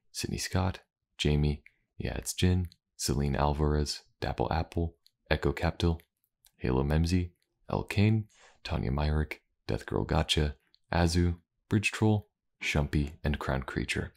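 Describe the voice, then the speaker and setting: A man's voice: extremely low pitch, creaky. A man who hosts an audio channel, giving a scripted thank-you outro. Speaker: voice actor and channel host. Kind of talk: scripted outro, thanking listeners and reading names.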